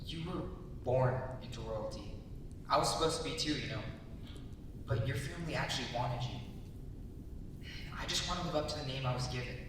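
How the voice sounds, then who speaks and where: A man's voice: normal speed, pauses often, lightly acted. A man playing a boastful but insecure young hero in a dramatic stage reading, proud and earnest. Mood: hurt, earnest. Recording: very echoey hall, distant mic, rumbly noise.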